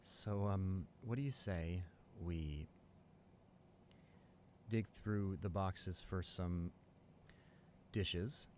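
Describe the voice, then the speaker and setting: A man's voice: sits low, very slow, pauses often, darkish tone, slight background noise. A man playing a devoted, hopeful college boyfriend in an improvised ASMR roleplay, talking earnestly and affectionately to a partner. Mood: tender, loving. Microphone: close studio condenser microphone.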